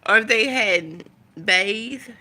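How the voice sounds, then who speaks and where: A woman's voice: on the high side, takes it slowly, bright, forceful tone. A woman chatting casually to her viewers as a vlogger, thinking aloud in a rambling way.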